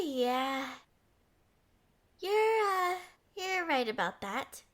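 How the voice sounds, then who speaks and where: A woman's voice: high voice, fairly bright tone, heavily acted. A woman playing a smitten, possessive young princess in a romantic roleplay audio, coy, entitled and playfully defensive. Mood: defensive, jealous.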